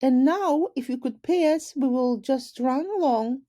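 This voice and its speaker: Woman playing a breezy, high-pitched storybook swindler. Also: a little slow, warm tone. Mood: breezy, brisk. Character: smooth, breezy swindler tailor selling invisible clothes.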